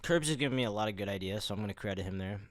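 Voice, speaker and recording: A man's voice: on the low side, animated, rapid-fire, slight background noise. A man co-hosting a podcast in casual conversation, explaining things in an informal, rambling way. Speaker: podcast co-host.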